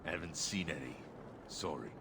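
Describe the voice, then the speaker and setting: Very deep, gravelly dock laborer. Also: male voice, moderate pace. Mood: surly. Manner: dry, dismissive. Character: surly, smart-mouthed male dock laborer. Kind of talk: video game dialogue acting. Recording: audible background ambience noise.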